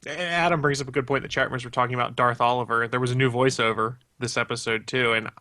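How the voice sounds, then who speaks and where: A man's voice: mid-range voice, steady tone, fast talker, bright, forceful tone, dry recording, studio quality. A man co-hosting a podcast, talking casually about a television show. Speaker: podcast co-host. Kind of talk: casual TV recap chat.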